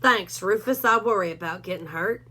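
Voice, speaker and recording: A woman's voice: mid-range voice, moderate pace, bright, forceful tone. A woman voicing a self-important male cowdog who talks in a dry, wry, drawling way.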